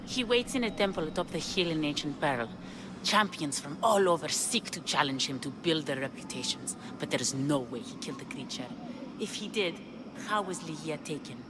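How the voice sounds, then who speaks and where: A woman's voice: low-pitched, expressive, moderate pace, background noise. A woman voice-acting an anguished, devoted young woman in a video game, speaking with earnest, pleading drama. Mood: grave. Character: anguished, devoted young woman longing for her lost lover.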